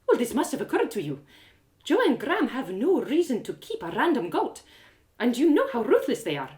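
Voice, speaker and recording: A woman acts out a blunt, condescending female advisor in an audio drama. She talks down breezily, with lively pitch, in a roomy space. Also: brisk.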